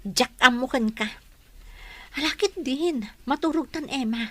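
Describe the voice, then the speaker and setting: A woman's voice: sits high, measured pace, pushed and bright, no room sound, heavily acted. A woman playing a responsible, protective, hardworking older sister in a radio drama. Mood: caring, firm.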